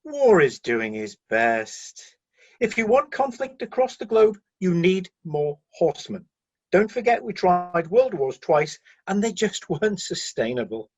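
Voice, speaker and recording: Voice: mid-range voice, sing-song, measured pace, husky, lo-fi sound. An actor playing a loyal, defensive celestial middle manager in a satirical radio play, breezily making corporate excuses and sounding mildly flustered. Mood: breezily justifying.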